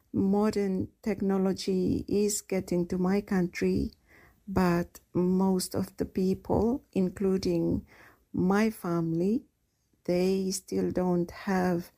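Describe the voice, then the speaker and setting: A woman from the Solomon Islands speaking in a measured way about her life. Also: lowish voice, vocal fry, warm tone. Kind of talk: interview testimony.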